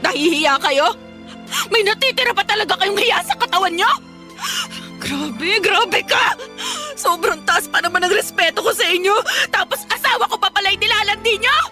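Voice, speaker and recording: A woman's voice: very high-pitched, expressive, normal speed, sharp tone, full character voice. A woman playing an outraged, anguished betrayed wife and mother in a Filipino radio drama, pleading and accusing. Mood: indignant. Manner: emotional, pleading and accusing delivery. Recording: dramatic music behind.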